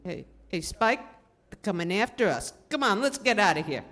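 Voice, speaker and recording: A woman's voice: mid-range voice, sing-song, normal speed, a bit bright. A woman playing a nervous, cowardly male gangster sidekick with pleading, slangy talk in a 1930s-style radio drama. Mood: panicky. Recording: reverberant hall stage recording.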